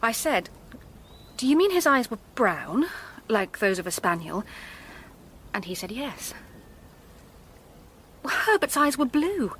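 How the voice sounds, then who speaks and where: A woman's voice: a little high, big pitch swings, takes it slowly, pauses often, fairly bright tone, slight background noise, full character voice. An actress playing a determined, grave young woman in a radio drama, composed and probing. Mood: accusing.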